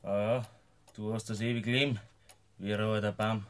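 An actor playing Flori, a young villager who is guilt-ridden and hot-tempered, speaking in an anguished, flaring way in a classic radio play. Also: male voice, on the low side, level tone, moderate pace, lightly acted. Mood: mournful.

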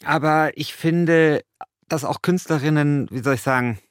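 A man's voice: on the high side, measured pace, smooth, no echo, studio quality. A man co-hosting a podcast, talking in a colloquial, argumentative way. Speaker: podcast co-host and radio play author. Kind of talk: casual debate and informal narration.

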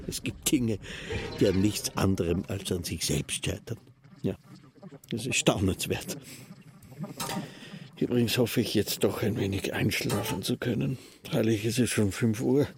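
An actor playing a weary, long-winded castle official in a radio play, husky and breathy, halting and ruminative but insistent. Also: male voice, lowish voice, noisy background. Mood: weary impatience. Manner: halting, ruminative, builds long elaborate sentences. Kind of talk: German literary radio play acting.

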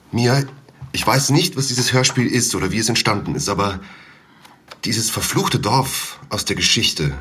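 A man's voice: mid-range voice, fairly bright tone, a little hiss or hum, natural voice. A man playing an urgent young man explaining clues.

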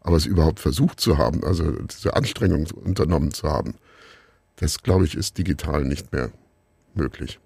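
A middle-aged man giving reflective answers as an interview guest, musing and haltingly searching for words. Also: very deep voice, animated, vocal fry, darkish tone, breathy edge, dry recording, studio quality. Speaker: interview guest, radio play maker.

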